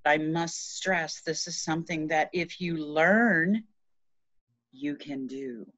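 A middle-aged woman speaking earnestly as an interview guest, explaining energy healing in casual conversation with pauses. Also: low-pitched, a bit bright, dry recording. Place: small room at home. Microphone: video call, shared device at arm's length. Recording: dull, muffled video-call audio.

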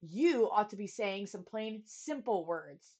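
A woman's voice: mid-range voice, expressive, takes it slowly, darkish tone. A woman voicing an earnest, bold storybook boy who speaks plainly and urgently. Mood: scolding. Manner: urgent, plain-spoken, scolding. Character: earnest, bold boy, outspoken to his king.

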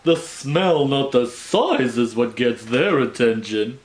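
An offended storybook yeti, played by a man, complains in slow, huffy rhyme. The voice is mid-pitched and deliberate, recorded in a roomy home space with some noise. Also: lightly acted. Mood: indignant. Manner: huffy, deliberate rhyming delivery. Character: indignant, offended female yeti defending itself.

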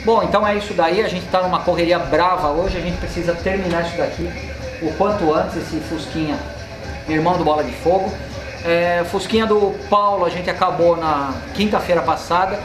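A man's voice: high-pitched, fairly even intonation, moderate pace. A Brazilian man in his forties, a mechanic hosting his channel, explaining repair work while gesturing at a car in an indoor workshop. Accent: Brazilian Portuguese. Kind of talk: casual workshop vlog talk. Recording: echoey workshop with music underneath.

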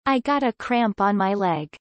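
Slow, careful woman reading phrases. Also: mid-range voice, expressive, no echo. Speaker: English phrase reader. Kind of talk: slow, clearly enunciated phrase reading for repetition.

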